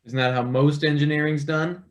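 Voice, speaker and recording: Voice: mid-range voice, fairly even intonation, moderate pace, close to an everyday voice. An actor playing an eager, skeptical young intern in a read-through of a satirical stage play. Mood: questioning. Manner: questioning, wry.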